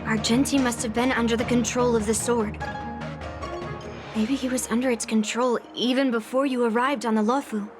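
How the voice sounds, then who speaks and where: A woman's voice: fairly high pitch, fairly even intonation, moderate pace, close to an everyday voice. An actress voicing a bold, confident young swordswoman in a game cutscene, blunt and a little teasing. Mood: determined. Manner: blunt, self-assured, teasing. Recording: game audio with clear music behind.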